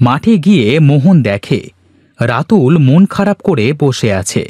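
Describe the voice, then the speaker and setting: A man's voice: mid-range voice, very animated, unhurried, warm tone, no echo, clean studio sound. A man storyteller reading scripted narration for a cartoon moral tale.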